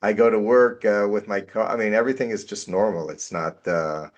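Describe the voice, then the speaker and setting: A man with a very low voice and an American accent, speaking over a video call from his home office. He talks in a measured way, often repeating a word while he looks for the right phrase. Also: lo-fi sound. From Israel. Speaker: potential client. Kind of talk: casual video call conversation.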